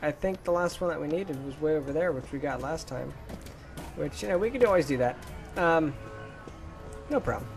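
Young adult man, gaming YouTuber, casual commentary, animated intonation, goofy exclamations, game music behind. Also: a little high, normal speed, creaky, pauses often. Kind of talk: casual let's play commentary.

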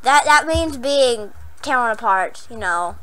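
A young woman playing a horror game, hoarse from losing her voice, jumpy and prone to yelps and squeals when startled, then chatty again. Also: a little high, animated, slow, a bit bright. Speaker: gaming channel host. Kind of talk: Minecraft horror map let's play.

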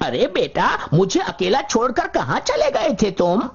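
A formal, middle-aged company boss from a cartoon, with extremely animated, swooping pitch. He talks in a businesslike way over music, in dull TV sound. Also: moderate pace. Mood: businesslike, displeased. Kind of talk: Hindi cartoon dub acting.

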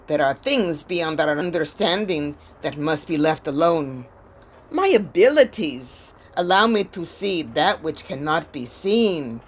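A woman's voice: low-pitched, animated, husky, exaggerated voice. A woman playing a weary old female spirit medium, solemn and foreboding, in a theatrical audio drama. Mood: troubled refusal. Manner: solemn, dramatic, deliberate pronouncements.

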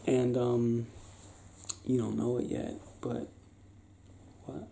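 Mellow, gravelly young man, soft and intimate. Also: medium pitch, level tone. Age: young adult. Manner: soft-spoken, affectionate, halting and playful. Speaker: ASMR roleplayer. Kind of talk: ASMR boyfriend roleplay, improvised intimate chat. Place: small indoor bedroom, lying on a bed. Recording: roomy bedroom sound with faint hiss.

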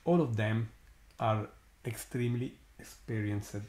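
A man with an Italian accent, his first language Italian, hosting a live astronomy stream and commenting slowly with many pauses and fillers, sounding amazed. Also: on the low side, a little mellow, reverberant. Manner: slow, halting, many fillers, awed.

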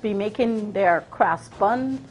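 A middle-aged woman casually demonstrating a recipe, explaining it in a hesitant, halting way. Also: medium pitch, husky. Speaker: guest cook demonstrating a recipe. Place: TV kitchen studio set.

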